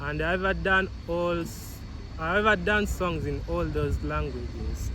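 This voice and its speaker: Ugandan guy in his 30s, laughs a lot, kinda high voice, traffic noise behind. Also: measured pace, poor audio. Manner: laughs often, animated, repeats himself. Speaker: reggae artist guest. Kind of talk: casual interview answers. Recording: outdoor street noise.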